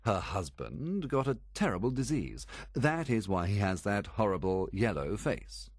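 A calm detective lays out his reasoning in a low, husky voice with a lively, rising and falling pitch, as a male reader puts him on. The delivery is measured and clear, a storybook narration recorded close and dry. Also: no echo. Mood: explaining. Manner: measured, explanatory. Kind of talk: storybook reading.

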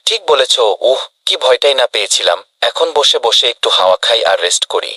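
A man voicing a talkative, friendly but anxious rabbit with a husky voice in a children's cartoon. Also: mid-range voice, expressive, brisk, pushed and bright. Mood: tired relief. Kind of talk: dubbed children's cartoon dialogue.

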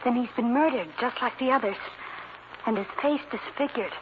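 A woman's voice: on the high side, moderate pace, natural voice. Woman as anxious sleuth's companion, muffled recording. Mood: worried. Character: inquisitive, anxious female companion of a detective. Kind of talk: 1940s radio drama acting. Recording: muffled, noisy old radio recording.

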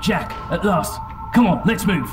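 An actor playing an urgent, brusque commander on a rescue in a radio drama. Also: male voice, high voice, expressive, a little slow. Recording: distant voice with music behind.

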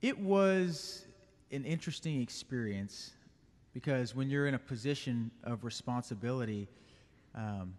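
A young man answers questions calmly and thoughtfully at a stand mic in a church sanctuary. His voice sits at a middle pitch, and the church carries a long reverb behind it. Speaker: guest, business owner and band leader. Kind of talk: interview answers.

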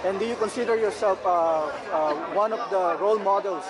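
A male interviewer asking questions in a halting way, often restarting and repeating the answers he hears, at a busy indoor expo. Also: high voice. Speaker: interviewer, blog reporter. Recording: noisy crowd in a large hall.

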